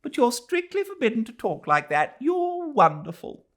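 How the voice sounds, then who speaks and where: A man's voice: very high voice, huge pitch swings, normal speed, a bit bright, pitched above the performer's own voice, full character voice. A man voicing a weary young Englishwoman. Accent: British.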